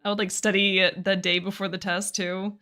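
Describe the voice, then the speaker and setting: A woman's voice: medium pitch, little pitch movement, normal speed, pushed and bright. A young adult woman streamer chatting casually with viewers while she draws, giggling often and poking fun at herself. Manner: chatty, giggly, self-deprecating.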